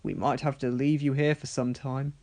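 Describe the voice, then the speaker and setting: A man's voice: medium pitch, level tone, moderate pace, darkish tone, natural voice. A man voicing a stern, authoritative male railway boss in a children's storybook, speaking in a commanding way. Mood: stern, pondering.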